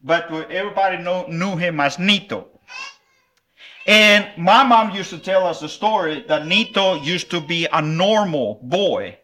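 Man, middle-aged, from El Salvador, revival preacher, conversational, slightly high voice, bright tone. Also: lively intonation, a little slow. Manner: friendly, conversational, with small laughs and fillers. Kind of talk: revival sermon with children's object lesson.

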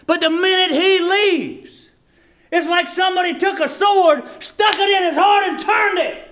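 A man preaching a fervent, folksy evangelical sermon, swinging between shouts and hushed appeals. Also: extremely high pitch, unhurried. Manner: folksy, plain-spoken grammar with rhetorical questions.

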